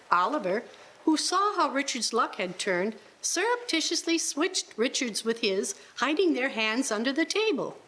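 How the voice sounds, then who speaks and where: A woman's voice: on the high side, fairly bright tone, low-quality recording. A woman telling a story as scripted narrator of a radio play.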